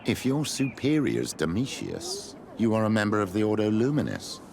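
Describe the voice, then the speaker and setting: A gravelly, shrewd older churchman. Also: on the low side, huge pitch swings, moderate pace, noisy background. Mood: wryly suspicious. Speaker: companion. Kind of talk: video game dialogue.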